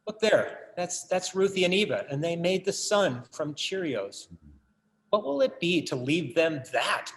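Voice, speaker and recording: A man acting a brooding artist and obsessive collector in a stage play. His fairly high voice muses earnestly and wryly, like a theatrical monologue. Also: very animated, unhurried, full character voice. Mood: wistful.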